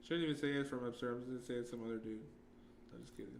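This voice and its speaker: A man's voice: mid-range voice, fairly even intonation, rapid-fire, creaky, slight background noise. Easygoing young male podcast host. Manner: easygoing, slangy, ends lines with "bro". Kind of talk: casual podcast chat.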